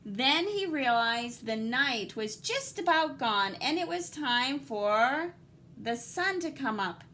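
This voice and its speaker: A woman reading a picture book aloud like a teacher, animated and dramatic, with guiding asides to young listeners. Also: sits high, slow pace, a little hiss or hum.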